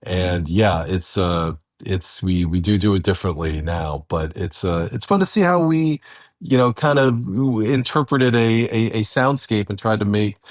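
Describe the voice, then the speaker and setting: A man's voice: lowish voice, moderate pace, dry recording, clean studio sound. A man co-hosting a podcast, chatting casually and conversationally as he introduces an audio drama. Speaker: podcast co-host.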